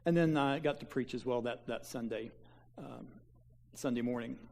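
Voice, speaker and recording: A man pastors a small church and talks his congregation through mission-trip photos. His voice sits at a middling pitch, and his delivery is folksy and halting, full of fillers, restarts and offhand asides. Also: vocal fry, darkish tone. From Kansas. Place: church sanctuary.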